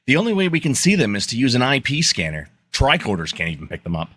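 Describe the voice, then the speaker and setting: Bright, animated starship engineer played by a man. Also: medium pitch, fast, no room sound. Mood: earnest, businesslike. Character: earnest, practical male starship chief engineer. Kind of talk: podcast live script reading.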